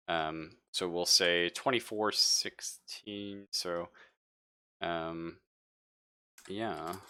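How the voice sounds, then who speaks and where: A man with a low, bright voice runs a tabletop roleplaying game as game master. He improvises casually, pausing to think aloud and sometimes swearing. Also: smooth. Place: live online stream.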